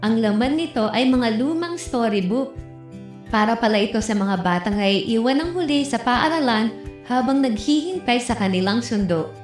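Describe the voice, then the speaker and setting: A woman narrating a scripted first-person story in an expressive, dramatic storytelling manner. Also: fairly high pitch, normal speed. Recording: roomy echo with music behind. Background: music.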